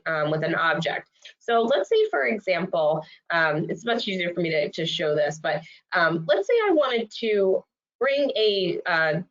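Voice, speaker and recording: Congested woman leading a software webinar. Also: on the low side. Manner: friendly, lots of fillers. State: congested from a cold. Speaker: trainer. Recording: dull lo-fi web audio.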